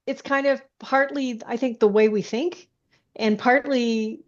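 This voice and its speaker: A woman guest author chatting casually in an interview, haltingly, often thinking aloud as she explains. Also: fairly high pitch, fairly even intonation, dull audio. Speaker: guest science fiction author.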